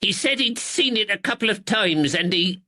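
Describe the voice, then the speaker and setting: A man putting on a shrill, stammering weaselly draper. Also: lively intonation, moderate pace, pushed and bright, full character voice. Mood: plaintive. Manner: stammering, plaintive, rising to shrill. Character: nervous weaselly middle-aged male draper.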